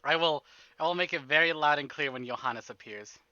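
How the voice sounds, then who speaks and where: A man's voice: a little high, a little fast, pushed and bright, clean tone, dry recording, muffled recording. A man hosting a casual livestream, chatting to viewers in a playful, rambling, exclamatory way and often repeating himself. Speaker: livestream host, gamer.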